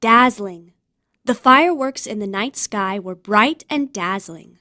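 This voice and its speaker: A woman's voice: medium pitch, lively intonation, measured pace, sharp tone, no echo. A woman reading vocabulary words, definitions and example sentences from a script for learners. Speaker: vocabulary narrator.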